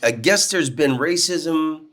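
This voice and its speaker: A middle-aged man hosting a casual interview, curious and asking quick follow-up questions. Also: on the high side, a bit bright. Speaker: podcast host, comedian.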